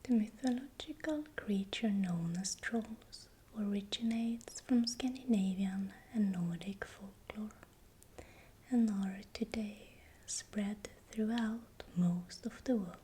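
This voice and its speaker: A woman with a Swedish accent whispering breathily and deliberately in short, soft phrases, as the host of an ASMR video. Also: medium pitch, a little hiss or hum. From Sweden. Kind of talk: whispered ASMR, explanatory narration.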